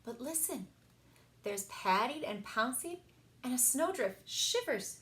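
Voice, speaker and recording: A woman reads a children's storybook aloud in an echoey room. Her voice sits slightly high and she takes her time, narrating expressively as a story time reader. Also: animated, slow pace, a bit bright.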